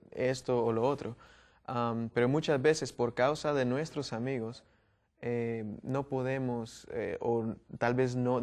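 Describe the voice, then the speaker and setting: A man's voice: medium pitch, darkish tone. A young man from Guatemala hosts a youth panel discussion, asking questions with frequent fillers and restarts. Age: young adult. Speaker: host.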